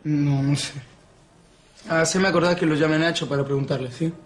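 A man's voice: on the high side, fairly even intonation, normal speed, slight background noise, lightly acted. An actor playing a troubled, hesitant young man in a telenovela, with an Argentine accent. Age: young adult. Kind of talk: telenovela acting.

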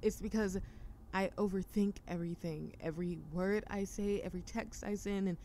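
A woman's voice: medium pitch, steady tone, mellow, lightly acted. A woman acting a nervous, hesitant young girlfriend. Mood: anxious, confessional. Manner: hesitant, stammering, halting. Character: nervous, shy, affectionate young girlfriend who overthinks everything. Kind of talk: intimate roleplay audio drama. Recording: faint ambient noise behind voice.

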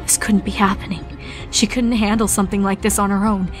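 A woman's voice: mid-range voice, flat delivery, fairly quick. A woman reading a horror story aloud as a dramatic scripted narration. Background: music.

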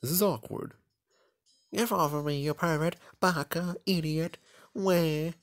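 A man's voice: on the high side, steady tone, unhurried, pitched above the performer's own voice. A man voicing a proud, bossy, ambitious teenage schoolgirl from an anime visual novel, with an affected girlish delivery. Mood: indignant.

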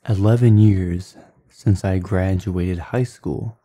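Casting: late-twenties man, dark mellow low voice, meandering vlog chatter. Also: fairly even intonation, slow pace, echoey room. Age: late 20s. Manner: meandering, many fillers and restarts. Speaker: art vlogger. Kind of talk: casual rambling vlog; voice-over while drawing. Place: small apartment kitchen, indoors. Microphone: handheld camera at arm's length.

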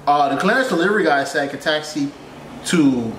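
Animated, exasperated young man ranting. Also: a little high, brisk. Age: young adult. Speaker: reaction video host, air traffic controller. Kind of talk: casual reaction commentary with storytelling. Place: small furnished room indoors. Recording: roomy echo, faint background noise.

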